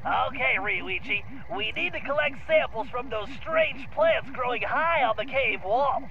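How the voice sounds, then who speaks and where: A man's voice: very high voice, lively intonation, unhurried, dull audio, noisy background, exaggerated voice. A man voicing a brainy, nerdy turtle mastermind in a video game, with a nasal voice and a precise, technical way of briefing. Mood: instructive, earnest. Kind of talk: animated video game voice acting, mission briefing.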